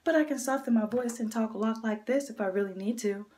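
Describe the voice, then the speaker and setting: Deep-voiced woman ranting casually. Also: fast. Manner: animated, keeps asking "you know what I'm saying". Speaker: vlogger. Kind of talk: casual opinion rant to camera.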